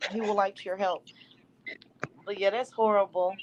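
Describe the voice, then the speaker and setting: A woman hosting a casual online call, chatty and quick to jump in with agreement. There is a faint hiss of background noise behind her mid-pitched voice. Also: steady tone, lo-fi sound. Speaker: host of a call-in talk show. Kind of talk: casual chat.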